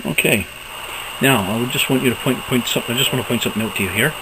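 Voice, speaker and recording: A man giving a casual, unscripted walkthrough of equipment as a hobbyist presenter, halting and thinking aloud as he goes. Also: mid-range voice, background noise.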